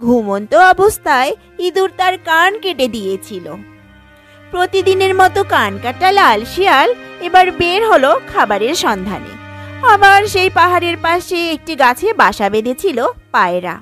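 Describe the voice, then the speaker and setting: Very high-pitched, animated children's story narrator. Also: moderate pace, soft background music.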